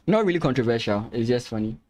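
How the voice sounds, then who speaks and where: A man's voice: mid-range voice, lively intonation, fast talker, darkish tone. A man from Ghana, a native Ga speaker, commentating chess lines on a live stream, thinking aloud with hesitant restarts and easy laughter.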